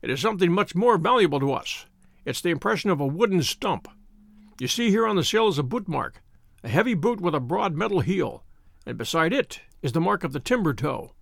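A man voicing a cool, brilliant male detective who explains his reasoning crisply, like a professor to a class. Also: sits high, lively intonation, brisk, a bit bright, no room sound, exaggerated voice. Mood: brisk lecturing.

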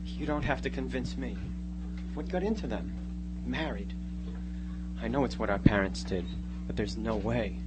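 A man's voice: medium pitch, normal speed, long pauses, very noisy, close to an everyday voice. A man playing an anxious, doubting teenage boy in a radio drama, fretful and full of pleading questions. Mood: worried. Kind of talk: live amateur radio drama acting.

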